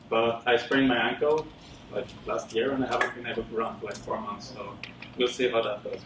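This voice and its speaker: Swedish guy talking about his software at a meetup, lots of uhs, sounds far off in an echoey room. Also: medium pitch. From Sweden. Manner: relaxed, rambling with many fillers. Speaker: conference speaker. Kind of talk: casual tech talk. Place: lecture room. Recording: roomy, distant with audible background noise.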